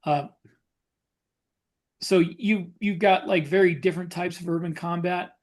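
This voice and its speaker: A man co-hosting a casual podcast discussion as a writer, explaining things in a halting way. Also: high-pitched, fairly even intonation. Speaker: podcast co-host, science fiction writer.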